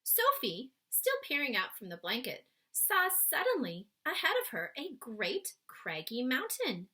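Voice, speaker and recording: A woman reading a children's story aloud expressively, with dramatic pauses, speaking to young listeners. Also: sits high, huge pitch swings, pushed and bright, husky.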